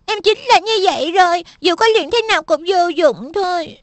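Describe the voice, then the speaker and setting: Woman as small boy, cartoon dub: squeaky-high, whiny, self-doubting. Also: lively intonation, normal speed, no room sound. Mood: discouraged. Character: timid little boy, younger brother, easily discouraged.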